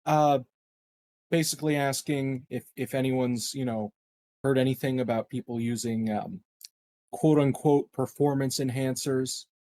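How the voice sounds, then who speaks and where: Male tabletop player, mid-pitched mellow voice, casual improvised delivery with a hesitant feel. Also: steady tone, measured pace. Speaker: player in a tabletop roleplaying game. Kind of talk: casual in-character and table talk.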